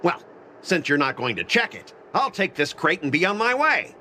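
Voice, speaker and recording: An actor playing a pompous, blustering young corporate manager in a video game. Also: male voice, a little high, sing-song, normal speed, pushed and bright, a little hiss or hum, heavily acted. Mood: smug. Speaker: company manager.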